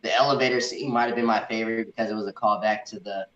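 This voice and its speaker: A man in a casual movie review chat, talking as an enthusiastic fan and often repeating words haltingly. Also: lowish voice, steady tone, fairly bright tone. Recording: echoey room, dull muffled audio.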